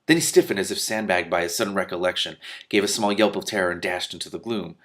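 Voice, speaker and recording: A man's voice: deep voice, quick pace, fairly bright tone. A man reading a novel aloud as host, acting out dialogue expressively and adding casual asides.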